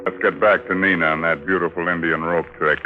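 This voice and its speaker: An actor playing a ghoulish horror host, droll and mock-sympathetic, narrating tongue-in-cheek 1940s radio. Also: male voice, sits low, steady tone, brisk, close to an everyday voice. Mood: mock sorrow. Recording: muffled 1940s radio with music.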